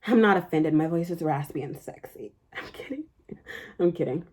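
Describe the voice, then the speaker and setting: A young adult woman chatting casually through a makeup tutorial in a fairly deep voice, rambling with lots of fillers. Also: animated, moderate pace, darkish tone. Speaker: beauty vlogger.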